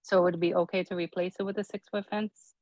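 A woman's voice: on the low side, little pitch movement, quick pace, darkish tone, dry recording. A woman applying to a zoning board on a video call, asking questions in an uncertain way. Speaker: applicant before a zoning board. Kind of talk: questions at a public meeting. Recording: dry muffled video-call audio.

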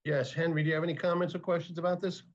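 A man's voice: on the high side, little pitch movement, very fast. Courteous chairman over muffled audio. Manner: businesslike, courteous. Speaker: board chairman. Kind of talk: chairing a public meeting. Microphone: video call. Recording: muffled video-call audio.

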